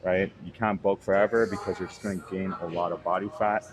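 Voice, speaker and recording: Low-voiced man in his thirties. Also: fast, a crowd in the background. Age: 30s. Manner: relaxed, rambling, with fillers. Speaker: fitness vlogger. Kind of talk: casual vlog chat. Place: gym, indoors.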